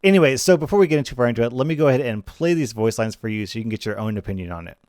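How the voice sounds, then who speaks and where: A man's voice: medium pitch, expressive, racing, darkish tone, dry recording, clean studio sound. A man hosting a gaming video with casual, conversational commentary, often repeating phrases for emphasis.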